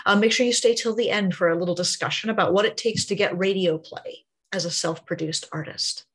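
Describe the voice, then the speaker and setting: A woman hosting a music podcast, reading a clear, articulate introduction and then interviewing her guest. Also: medium pitch, a little fast. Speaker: podcast host.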